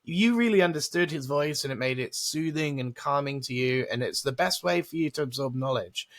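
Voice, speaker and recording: A man's voice: fairly high pitch, expressive, brisk. A man co-hosting a podcast, talking emphatically and conversationally as he makes his points. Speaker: podcast co-host.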